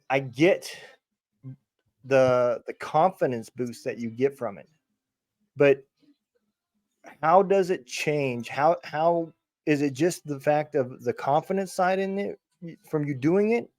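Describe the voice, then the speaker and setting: Male interviewer for casual podcast. Thoughtful, halting, circles into questions; dry, intimate recording. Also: mid-range voice, darkish tone, no echo. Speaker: podcast host.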